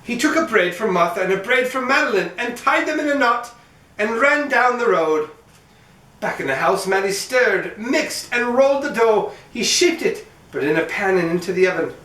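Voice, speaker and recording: A man's voice: sits high, lively intonation, normal speed, bright, forceful tone. A man reading a children's storybook aloud as host, narrating expressively and playfully for young listeners. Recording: roomy echo, mic at some distance.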